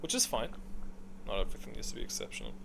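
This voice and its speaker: A man's voice: lowish voice, wildly swooping pitch, rapid-fire, vocal fry, pushed and bright, slight background noise. A man giving casual, opinionated gaming commentary, rambling and thinking aloud in a blunt way. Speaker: gaming video creator.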